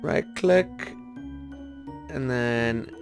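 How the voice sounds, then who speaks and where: A man's voice: mid-range voice, drawn-out words. A man hosting a gaming video, giving casual commentary and often questioning himself as he thinks aloud. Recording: music behind the voice. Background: background music.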